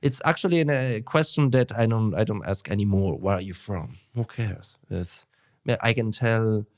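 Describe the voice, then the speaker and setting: A man's voice: on the low side, normal speed. A man from Italy with an Italian accent, chatting as a guest on a podcast and acting out what other people said. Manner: animated, mimics others in quoted asides. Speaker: guest guitarist. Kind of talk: casual podcast conversation.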